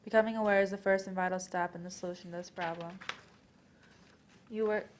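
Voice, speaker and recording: A woman's voice: on the low side, steady tone, fairly quick, background noise, lightly acted. A young woman performing a scripted readers theater piece, reading aloud with occasional stumbles. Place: college library event. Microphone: worn microphone.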